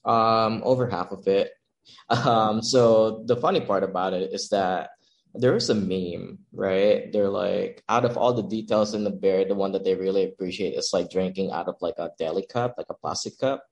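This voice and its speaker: Young male guest from the Philippines, deep voice, easygoing and chatty, lots of fillers. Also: moderate pace, creaky, dull audio. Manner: relaxed, laughs often, many fillers like "um" and "like". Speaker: chef and pop-up owner, guest. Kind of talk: casual interview chat.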